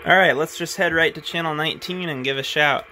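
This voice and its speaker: A man thinking aloud in a relaxed way as he tests his CB radio in a garage. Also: a little high, a little fast, slight background noise. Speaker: radio hobbyist demonstrating his CB radio. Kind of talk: casual hobby video narration. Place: home garage.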